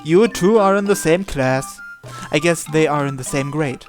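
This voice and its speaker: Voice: mid-range voice, moderate pace. Narrator reading a visual novel over music. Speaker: video game playthrough narrator. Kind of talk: translating and reading visual novel text aloud. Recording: game music behind the voice. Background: visual novel music and Japanese voice acting.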